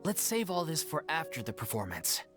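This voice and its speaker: A man's voice: a little high, big pitch swings, moderate pace. An actor playing a level-headed, conciliatory man in a video game. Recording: music under the dialogue.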